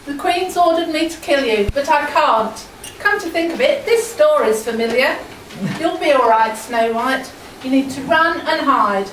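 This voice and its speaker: A woman playing an earnest fairy-tale character in an amateur pantomime, in a plain manner. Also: high-pitched. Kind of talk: amateur pantomime stage acting. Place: indoor amateur stage performance. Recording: echoey hall from far off, noisy.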